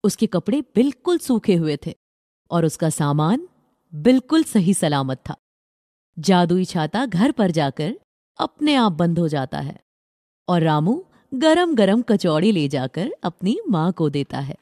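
A woman tells a children's bedtime story as a scripted narration. Her voice is mellow and medium in pitch, with lively intonation, recorded dry and close. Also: unhurried, no echo.

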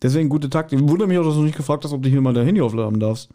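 A man's voice: on the high side, lively intonation, rapid-fire, dark tone. A man co-hosting a podcast in casual chat, teasing with dry sarcasm. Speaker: podcast co-host.

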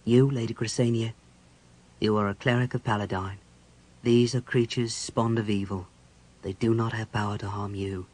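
A man's voice: lowish voice, level tone, moderate pace, a little mellow, close to an everyday voice. Man voicing a grim, haunted big warrior. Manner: grim, weighty dramatic delivery. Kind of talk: dramatic fantasy audiobook dialogue.

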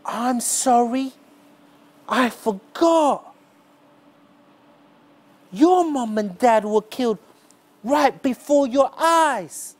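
A lonely, high-voiced young boy. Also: lively intonation, heavily acted. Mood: bitter. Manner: earnest, slow and deliberate, rising pitch on key words. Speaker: stage performer. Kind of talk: theatrical spoken-word monologue. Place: dark smoky theatre stage.

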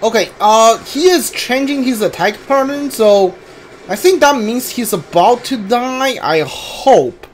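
A man streaming a game with casual, halting commentary, often repeating himself. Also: very high voice. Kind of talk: casual live playthrough commentary. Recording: steady background noise.

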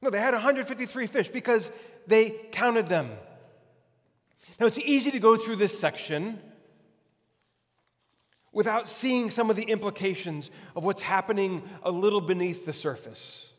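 A man in his forties preaching a conversational sermon as a pastor, with emphatic repetition and deliberate pauses. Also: sits high. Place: large hard-walled church hall, on stage. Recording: long hall reverb.

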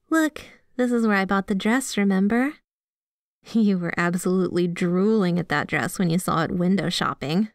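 A sweet yandere girlfriend, acted by a woman for an ASMR roleplay, with a mid-range female voice. She talks affectionately and possessively, as if to her beloved. The sound is dry and free of room echo. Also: medium pitch. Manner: affectionate, giggly, playfully possessive. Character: sweet, obsessively devoted, jealous yandere girlfriend. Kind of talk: ASMR roleplay, scripted one-sided conversation.

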